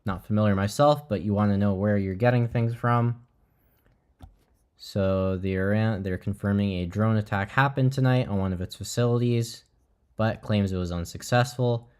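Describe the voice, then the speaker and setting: Low-voiced man, casual livestream host. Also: steady tone, moderate pace, darkish tone, clear, resonant tone. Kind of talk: casual live commentary.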